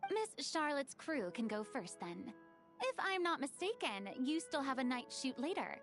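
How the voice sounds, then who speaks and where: A woman voicing a gracious, lively young director in a video game, expressive and courteous. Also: high voice, unhurried, music in the background, exaggerated voice.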